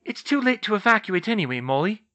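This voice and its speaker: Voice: medium pitch, sing-song, fast, a bit bright, voice pushed up. A narrator voicing an earnest, anxious teenage witch girl with an emphatic manner, in a fantasy audiobook.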